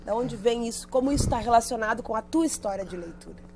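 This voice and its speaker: A woman's voice: a little high, fast, noisy background. Conversational woman hosting a TV interview. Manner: conversational, prompting guests with follow-ups. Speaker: TV interview host. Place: TV studio.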